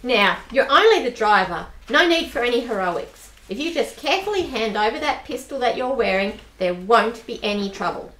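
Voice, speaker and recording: An actress playing a sympathetic, bold accomplice in an amateur radio play. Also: female voice, on the high side, lively intonation, moderate pace, few pauses, fairly bright tone. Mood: firm. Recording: roomy amateur recording.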